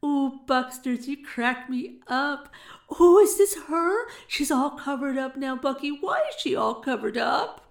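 Woman putting on a high, teasing small party girl. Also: a little slow, creaky. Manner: playful, mock-helpless snickering. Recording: roomy echo.

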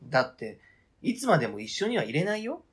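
Guy playing flustered partner in a radio drama, halting protests, husky, pitch jumps around. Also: big pitch swings, heavily acted. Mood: cautious persuading. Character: hesitant, flustered partner trying to be reasonable. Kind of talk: amateur radio drama acting.

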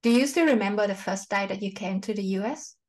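A woman hosting a podcast interview, asking questions gently and thanking her guest, with non-native phrasing. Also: medium pitch, fast. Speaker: podcast host.

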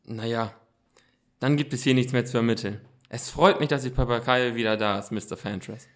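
A man's voice: mid-range voice, steady tone, a little fast, close to an everyday voice. A man as a precocious, earnest boy detective. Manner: formal, precise wording. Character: Justus, earnest precocious teenage boy detective, urgent, pedantic. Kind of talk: amateur radio play reading.

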